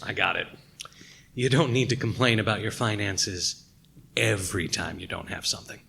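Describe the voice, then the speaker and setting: Low-voiced, world-weary noir detective. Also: male voice, normal speed, gravelly, slight background noise, full character voice. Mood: dry, probing. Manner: dry, world-weary, deadpan. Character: hard-boiled, wry male detective narrating a noir case. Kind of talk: noir radio play narration and dialogue. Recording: music behind the voice.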